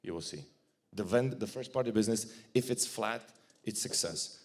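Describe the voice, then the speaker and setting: A man with a Czech accent giving a joking, blunt conference talk, with frequent restarts and a fairly low voice. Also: normal speed. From Czech Republic. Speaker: conference speaker. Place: conference hall.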